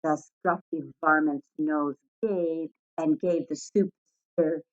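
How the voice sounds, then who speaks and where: A woman narrating a children's story aloud in an expressive read-aloud style, on a slightly muffled recording. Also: deep voice, takes it slowly. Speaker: storybook reader.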